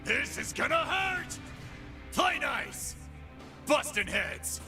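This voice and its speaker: A man's voice: very high-pitched, very animated, takes it slowly, sharp tone, full character voice. An actor playing a brash, swaggering male fighter who runs a fighting pit, taunting his opponents, heard as game voice lines over music. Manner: taunting, tough-guy swagger.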